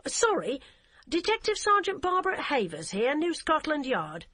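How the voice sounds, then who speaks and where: A woman's voice: high voice, huge pitch swings, moderate pace, fairly bright tone, voice pushed up, exaggerated voice. A woman voicing a blunt, flustered female detective sergeant. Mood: earnest.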